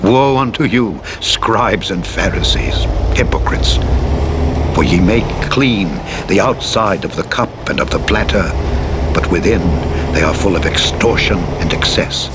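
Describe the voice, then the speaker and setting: A lowish male voice delivers scripture as a dramatic audiobook reading. He is solemn and declamatory, leaning hard on his phrases. A very loud vehicle engine rumble runs behind him throughout. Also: unhurried. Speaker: scripture narrator. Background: truck driving game engine rumble.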